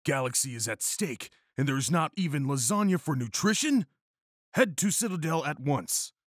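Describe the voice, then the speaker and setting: A man's voice: mid-range voice, animated, normal speed, breathy edge, dry recording, studio quality. A man voicing a macho, righteous cat hero in a comic story, pompous and declamatory. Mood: indignant. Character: macho, bombastic, lasagna-obsessed male cat hero, righteous and flirty.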